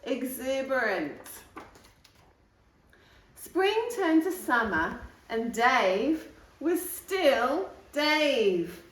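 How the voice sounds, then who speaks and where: A woman hosting storytime, reading a picture book aloud in an expressive way, stretching key words for young listeners. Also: high voice, very slow. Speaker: storytime host reading a picture book to viewers and her dog. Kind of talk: animated children's story reading. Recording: roomy echo, distant mic.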